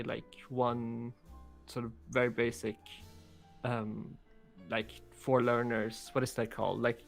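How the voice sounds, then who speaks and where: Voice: mid-range voice, gravelly. A co-host of a language-learning stream chatting casually, rambling with many filler words. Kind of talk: casual live-stream chat.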